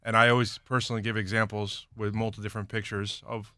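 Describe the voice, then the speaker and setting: A man with a loud, booming voice, a real estate agent guest talking casually on a radio show in a studio. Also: little pitch movement, fast, no echo.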